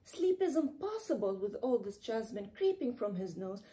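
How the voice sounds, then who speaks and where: Animated woman reading a storybook, mellow voice. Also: fairly high pitch, normal speed. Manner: expressive, dramatic storytelling with playful emphasis. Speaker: children's author reading her own book aloud. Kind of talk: animated storybook read-aloud.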